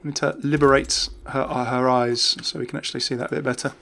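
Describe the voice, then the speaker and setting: A man's voice: medium pitch, flat delivery, fast, vocal fry, a bit bright. A British man hosting a gaming video with chatty, casual, off-the-cuff commentary. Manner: chatty, easily amused.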